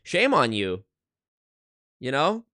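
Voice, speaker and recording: A man's voice: mid-range voice, huge pitch swings, takes it slowly, sharp tone, dry recording, studio quality. A man in his twenties, a YouTuber talking casually on a livestream from his apartment into a desk microphone, emphatic and swearing, often restarting his sentences. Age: 20s. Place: one-bedroom apartment.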